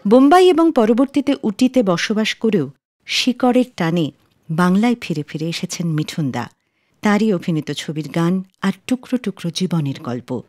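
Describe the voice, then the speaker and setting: A woman's voice: fairly low pitch, lively intonation, normal speed, a little mellow. A woman presents a music radio show in scripted narration.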